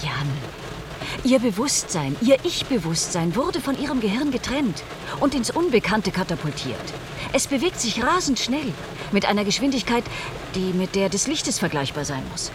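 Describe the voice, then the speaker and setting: Through clear background noise comes a composed woman scientist in a radio play. She explains her ideas earnestly and precisely, in formal sentences. Her intonation moves widely while she keeps her measured, serious manner. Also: on the high side, sing-song. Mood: insistent, explanatory. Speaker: radio drama actress. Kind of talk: German radio play acting.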